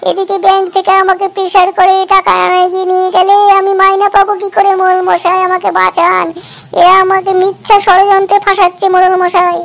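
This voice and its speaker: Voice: extremely high pitch, monotone, fast talker, creaky, a bit bright, heavily acted. A performer voicing a pleading, put-upon male loan collector in a comedy cartoon, whining and deferential. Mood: desperate, pleading. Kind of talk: amateur comedy cartoon dub.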